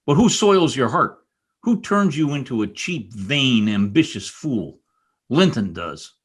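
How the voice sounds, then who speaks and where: A man's voice: medium pitch, big pitch swings, a little slow, husky. A man playing a bitter, lovesick young stable hand, passionate and brooding, in old-time radio drama style. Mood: bitter, angry. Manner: intense, scornful and pleading. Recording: amateur radio play recorded over video call.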